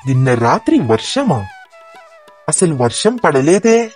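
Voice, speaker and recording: A man playing a shrewd, suspicious royal prime minister in an animated moral story, probing and accusing. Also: fairly high pitch, takes it slowly, soft background music. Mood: accusing, surprised.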